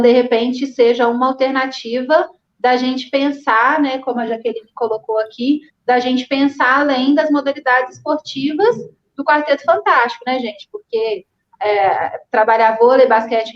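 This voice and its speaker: A woman with a Minas Gerais accent speaks in a high voice, as a physical education lecturer on a live online panel. She talks animatedly and turns to the audience often. The sound is dull and lo-fi, like a video call. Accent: Minas Gerais Portuguese. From Minas Gerais, Brazil. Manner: animated, addresses the audience directly. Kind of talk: casual online panel discussion.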